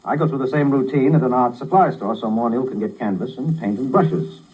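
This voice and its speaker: An actor playing a wry, tolerant struggling writer, narrating and talking in a dry conversational way in a 1950s radio drama. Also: male voice, mid-range voice, a little fast, darkish tone, husky, close to an everyday voice, rough recording. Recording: old 1950s radio broadcast.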